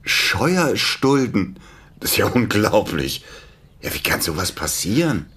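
Deep, husky, hesitant male accomplice. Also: big pitch swings, fairly bright tone, heavily acted. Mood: uneasy interest. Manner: hesitant, halting, repeating himself. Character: reluctant nervous male accomplice with a troubled conscience. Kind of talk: radio play acting.

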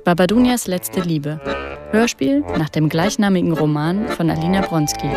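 A woman's voice: fairly low pitch, moderate pace. A woman reading a scripted credits announcement for a radio play. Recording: loud accordion and guitar music behind.

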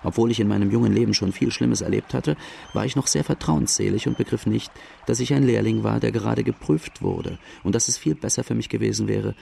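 An actor playing an outlaw who plainly recounts his hard youth in a German radio drama, with a gravelly voice. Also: on the low side, a little fast, mellow, slight background noise. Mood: reflective. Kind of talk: first-person narration in German radio drama.

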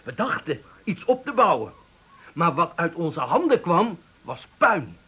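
An actor playing an embittered, eloquent factory worker of sixty-five, giving a dramatic, deliberate farewell speech in a radio play. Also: male voice, on the high side, big pitch swings, bright, forceful tone, slightly rough, heavily acted. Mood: indignant, impassioned. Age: 60s. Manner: oratorical, dramatic, deliberate phrasing. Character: embittered eloquent 65-year-old male factory worker at his retirement.

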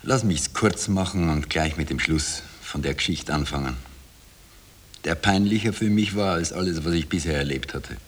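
An actor in a 1960 radio drama playing a bitter Viennese waiter who talks in a colloquial, confiding way. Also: male voice, a bass voice, normal speed, a little hiss or hum. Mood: weary, confiding. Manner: colloquial confiding narration, obliging when serving. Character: bitter Viennese waiter recalling his life to a woman.

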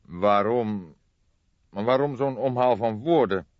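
Casting: male reader as weary older detective, low-pitched, deliberate and stubborn, close dry sound. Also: dry recording, lo-fi sound. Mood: stubborn, irritated. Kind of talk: audiobook character dialogue.

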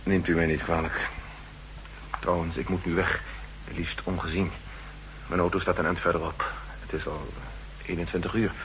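A man's voice: deep voice, little pitch movement, moderate pace, long pauses, a bit bright. An actor playing a nervous, suspicious official in a 1960s radio play, formal and courteous in his speech. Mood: polite, guarded. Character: nervous, suspicious male official plotting in secret. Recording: noisy old 1960s radio recording.

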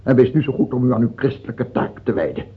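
An actor playing a dying, tyrannical king of France in a radio drama, fearful and halting, then imperious. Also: medium pitch, expressive, breathy edge. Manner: halting and stammering, turning imperious. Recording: muffled, tinny old radio-play recording.

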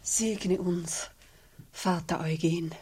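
A weary, pious peasant woman in a radio play. Her voice is fairly low and dark. She speaks plainly, with little rise or fall, in a subdued manner. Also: steady tone, drawn-out words, breathy edge, heavily acted. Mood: reverent, subdued. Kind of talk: German radio play acting.

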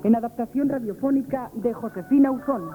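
A woman's voice: on the high side, a little fast. A woman announcer reading scripted radio credits. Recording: muffled old radio broadcast. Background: loud music.

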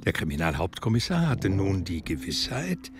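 Deep-voiced man narrating over music. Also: big pitch swings, moderate pace. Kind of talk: scripted literary narration in radio drama.

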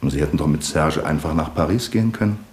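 An actor playing a shrewd, calm male police commissioner in a 1970s radio drama, with a Swiss German accent, speaking in a measured, courteous, probing way. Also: very deep voice, roomy sound. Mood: accusing.

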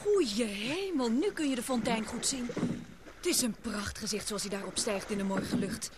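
A woman's voice: a little high, expressive, moderate pace, natural voice. An actress playing an anxious, sensitive young woman fleeing an island, in an earnest, dramatic Dutch radio play style. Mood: troubled, awed. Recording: noisy old radio drama recording.

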